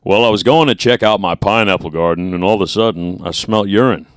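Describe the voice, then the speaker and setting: A man putting on a gruff, exaggerated deep growl as a grumpy man. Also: brisk, no echo, clean studio sound, close to an everyday voice.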